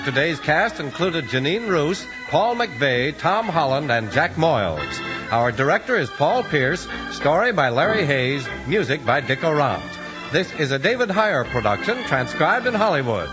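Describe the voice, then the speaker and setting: Animated high-pitched male vintage radio announcer. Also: a little slow, over music. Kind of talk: 1950s radio announcing, scripted. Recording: muffled old radio recording.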